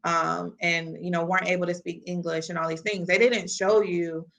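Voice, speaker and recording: Bright-voiced woman, earnest and reflective. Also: fairly low pitch, flat delivery, moderate pace, creaky. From Kansas City, US Midwest. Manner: earnest, reflective, frequent "you know" fillers. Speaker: podcast guest. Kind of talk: casual conversational interview.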